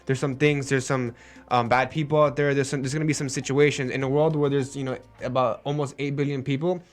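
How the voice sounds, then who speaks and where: A man's voice: mid-range voice, little pitch movement, brisk. A young man born in the United States, a guest in a casual radio chat, easygoing and full of "like" and "you know".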